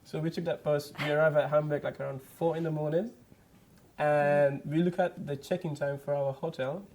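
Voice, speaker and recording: A young man, a student guest, telling a story in a relaxed, casual way. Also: a little high, moderate pace. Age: young adult.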